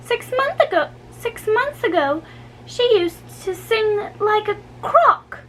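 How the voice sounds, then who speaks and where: A woman's voice: extremely high pitch, lively intonation, slow, a bit bright, a little hiss or hum, pitched above the performer's own voice, full character voice, low-quality recording. A woman voicing a saucy, bratty young ballet girl in a storybook reading, bold and dismissive. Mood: saucy, scoffing.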